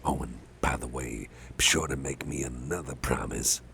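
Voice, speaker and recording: A man playing a doting, soft-hearted older boss in an ASMR roleplay, speaking gently and reassuringly in a gravelly, breathy half-whisper. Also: extremely low pitch, slight background noise, heavily acted. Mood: tender. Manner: slow, gentle, reassuring, rambling. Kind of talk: ASMR roleplay, softly spoken to the listener.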